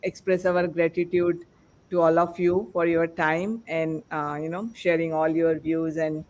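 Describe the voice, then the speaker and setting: A man hosting a panel discussion as podcast host, speaking in a halting, rambling way. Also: on the high side, flat delivery, slight background noise.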